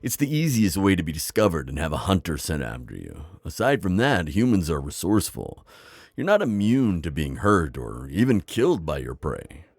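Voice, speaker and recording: A man playing a kindly, wise vampire innkeeper in an intimate ASMR roleplay, deep-voiced, with a folksy, chatty and slightly hesitant manner. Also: very animated, moderate pace, breathy edge, dry recording, clean studio sound, exaggerated voice. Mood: instructive, cautioning. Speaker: audio roleplay performer.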